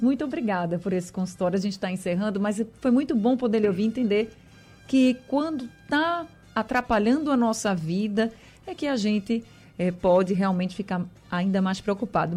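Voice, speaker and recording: A woman's voice: medium pitch, mellow. Woman radio host running call-ins.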